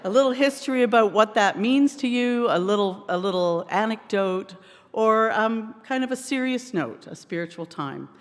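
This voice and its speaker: A worship leader speaking to a congregation in an informal, expressive way during a church service. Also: mid-range voice, moderate pace. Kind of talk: church service address, prayer and personal anecdote. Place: indoors in a church. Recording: reverberant church hall.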